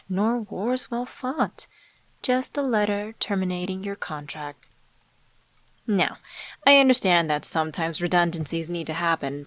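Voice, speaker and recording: A mysterious female stranger acted by a woman in an ASMR roleplay drama, speaking in a somewhat low voice with a very dry, close sound. She is suave and measured, posing rhetorical questions with teasing, smoothly condescending confidence, as if she knows everything about the listener. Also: dry recording. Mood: knowing, pointed. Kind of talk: scripted ASMR roleplay monologue spoken to the listener.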